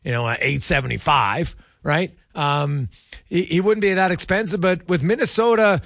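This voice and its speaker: Guy hosting sports radio chat, slightly high voice, close dry sound, conversational. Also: normal speed, vocal fry, a bit bright, no room sound. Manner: conversational, often checks in with "right?". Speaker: sports talk show host. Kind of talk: casual sports talk.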